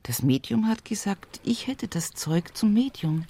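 A woman's voice: mid-range voice, expressive, unhurried, mellow, slight background noise. An actress playing a rural Bavarian woman in an intimate radio play monologue, musing plaintively and colloquially about life. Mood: bitter, weary. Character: rural Upper Palatinate woman, humorous, brooding, recalling her life. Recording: music behind the voice.

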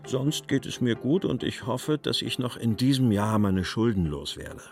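An actor voicing a weary, wry post-war writer reading his letters and poems in a measured, carefully articulated way. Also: mid-range voice, very animated, creaky, soft background music. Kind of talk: literary reading of letters and verse for a radio feature.

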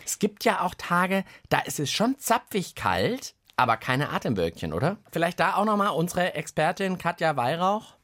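A man's voice: sits high, moderate pace, few pauses. A man co-hosting a children's science podcast in colloquial, playful chat. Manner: colloquial, animated, jokey.

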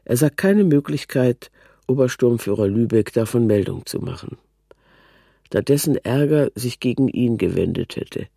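A man's voice: mid-range voice, expressive, moderate pace, creaky, mellow, slightly rough, dry recording, studio quality. A man narrating a scripted literary prose text.

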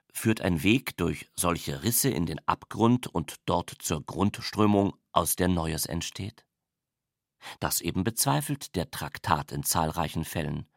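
A reader giving a measured, solemn rendition of literary prose as scripted narration. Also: medium pitch, no echo, studio quality.

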